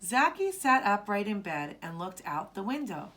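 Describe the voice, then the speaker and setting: A woman narrating a children's story as a storyteller, with deliberate, expressive delivery. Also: medium pitch.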